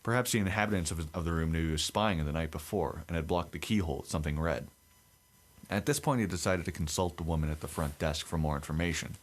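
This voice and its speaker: A young man with a deep radio-like voice, co-hosting a casual podcast and reading a creepy story aloud. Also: fast talker. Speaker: podcast co-host.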